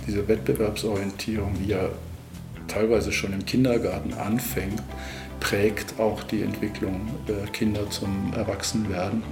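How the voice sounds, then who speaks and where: A man's voice: on the low side, level tone, unhurried, music in the background. A man speaking as an expert, analytical and explanatory.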